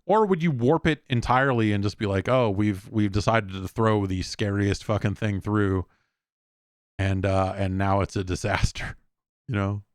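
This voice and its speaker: A man's voice: fairly low pitch, steady tone, normal speed, dry recording, studio quality. A man hosting a casual solo podcast, thinking aloud as he answers listener questions in informal, profane language. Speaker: podcast host.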